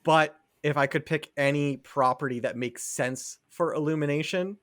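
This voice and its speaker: A man's voice: on the high side, fairly even intonation, moderate pace, a bit bright, dry recording, studio quality. A man co-hosting a podcast in casual chat, thinking aloud a little hesitantly. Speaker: podcast co-host.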